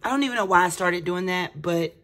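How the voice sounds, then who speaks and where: Chatty woman with slightly raspy voice. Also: fairly low pitch, brisk, fairly bright tone. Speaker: budgeting vlogger. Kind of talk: casual explanatory chat to viewers.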